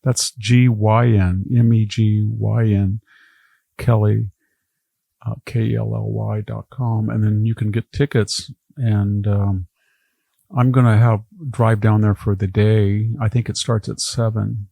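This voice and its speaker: Gravelly older man telling rambling anecdotes. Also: lowish voice, flat delivery, unhurried, mellow, no echo. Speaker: historian guest. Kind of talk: casual podcast conversation.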